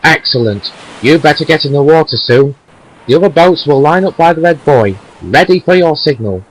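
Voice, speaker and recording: A man's voice: on the high side, expressive, normal speed, low-quality recording. A man playing a firm but kindly railway boss in an amateur audio drama, giving instructions formally.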